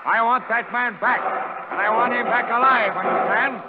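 An actor playing a cruel, vindictive prison sergeant in a 1930s radio drama, sneering and barking orders with a husky voice. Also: male voice, very high-pitched, fairly even intonation, normal speed. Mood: furious, shouting. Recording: dull old 1930s radio broadcast.

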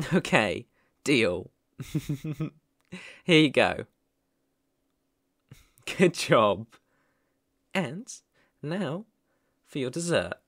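A man's voice: mid-range voice, no echo. A young man playing a caring, playful boyfriend looking after his sick partner in an intimate, soothing ASMR roleplay, close to the microphone. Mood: gently coaxing. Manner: gentle, soothing, affectionate, chuckling often. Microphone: close microphone, dry.

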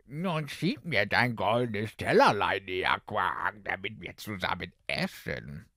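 A man voicing an insistent, demanding enchanted frog from a fairy tale, croaky and punctuating sentences with quacks. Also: lowish voice, animated, bright, forceful tone, dry recording, studio quality, exaggerated voice. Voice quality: gravelly, croaky, quacking interjections. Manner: slow, drawn-out, insistent.

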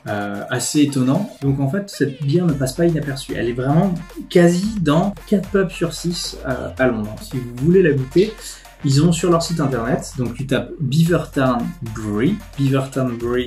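A man's voice: mid-range voice, expressive, moderate pace, a little mellow. A man, explaining things in an informal, conversational way as a vlog host. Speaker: video host, animator. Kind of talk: casual explanatory vlog talk to camera. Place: indoors, living room with a desk. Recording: music playing behind the voice. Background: music with guitar.

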